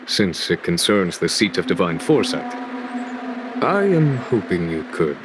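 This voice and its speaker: A man voicing a composed, courteous general in an anime game, calm, formal and measured. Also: low-pitched, noisy background. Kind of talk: anime game cutscene voice acting.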